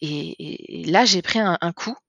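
A woman telling a personal story in conversation, speaking candidly with many halting restarts. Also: lowish voice, creaky, fairly bright tone, breathy edge, no echo. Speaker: guest. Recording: slightly dull, lo-fi sound.

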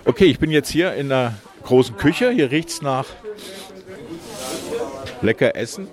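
A man's voice: mid-range voice, animated, a little slow, a crowd in the background. A man reporting from a job fair, asking questions in a casual, chatty way. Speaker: radio reporter. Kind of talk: on-site radio reportage. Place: job fair at a vocational school.

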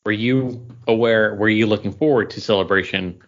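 Low-voiced man hosting a casual podcast. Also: fairly even intonation, moderate pace. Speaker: podcast host. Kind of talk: casual chat among friends. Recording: dull, lo-fi audio.

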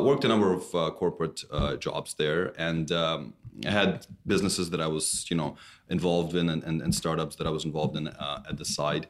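A man with an extremely low voice talks through his answers. He hesitates, fills pauses and restarts his sentences. He speaks as a guest in a podcast interview. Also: a bit bright. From Canada. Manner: hesitant, many fillers and restarts. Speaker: startup CEO guest.

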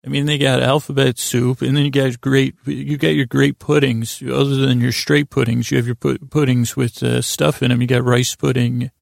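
A man's voice: mid-range voice, level tone, normal speed, gravelly, few pauses, no echo, studio quality. A man hosting a bedtime podcast, rambling in a goofy, self-deprecating way, stammering and interrupting himself. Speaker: podcast host.

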